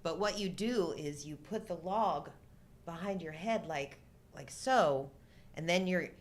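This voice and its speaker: Middle-aged woman, lowish pitch, slow pace, deadpan humour, casual co-host chat. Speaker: co-host of a live show. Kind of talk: casual humorous movie-discussion chat.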